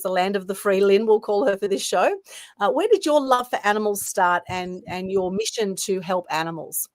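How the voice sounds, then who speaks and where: A woman's voice: medium pitch, dry recording, studio quality. A woman hosting an online interview show, introducing and praising her guests with enthusiasm. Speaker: talk show host.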